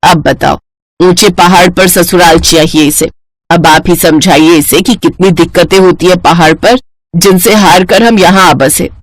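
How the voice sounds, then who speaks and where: A woman's voice: on the low side, unhurried, dry recording. Woman acting a grumbling middle-aged Indian mother. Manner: grumbling, world-weary. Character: middle-aged Indian mother, exasperated, practical, worrying wife. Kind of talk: animated moral-story voice acting.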